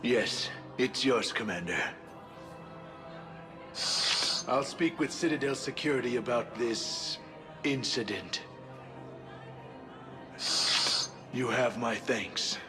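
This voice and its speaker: A man's voice: mid-range voice, a little slow, music in the background, natural voice. A man voicing a reluctant, bargaining alien informant in a video game. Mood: reluctant, resigned.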